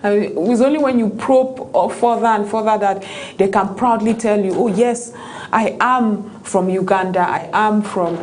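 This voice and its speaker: Animated woman with halting fillers. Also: medium pitch. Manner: animated, many fillers and restarts. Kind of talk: panel discussion.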